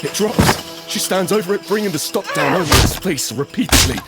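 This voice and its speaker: An actor playing a frightened survivor in an audio drama, narrating urgently in the first person and present tense in fragmented phrases. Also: male voice, high voice, expressive, a little fast, loud music behind the voice. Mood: tense, horrified. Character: desperate, frightened male survivor of a monster apocalypse. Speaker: narrator and lead character.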